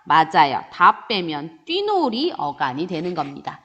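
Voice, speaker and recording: A woman teaching a grammar lesson in a lively way, answering imagined student questions and stretching out example words. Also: on the low side, a little slow, muffled recording. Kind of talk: online grammar lecture.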